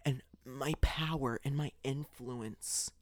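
A man mockingly voicing a preachy, self-important woman who gushes in a breathy, high-pitched way. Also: fairly even intonation, slow pace, dry recording, natural voice. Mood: gushing.

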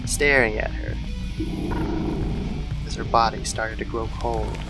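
A man's voice: lowish voice, fairly even intonation, takes it slowly, rough recording. Man narrating horror over music.

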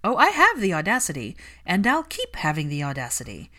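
A woman's voice: on the low side, wildly swooping pitch, fairly quick, pushed and bright, heavily acted. A woman voicing a bold, sly, teasing young witch in a story reading, pushy and unapologetic. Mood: challenging.

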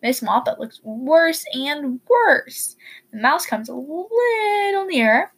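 A woman's voice: high voice, lively intonation, takes it slowly. A woman reading a children's story aloud as a narrator. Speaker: story reader.

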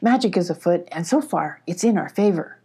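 Woman as a brisk little bee scout. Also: fairly low pitch, expressive, mellow, breathy edge, exaggerated voice. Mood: earnest. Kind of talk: children's storybook character voice.

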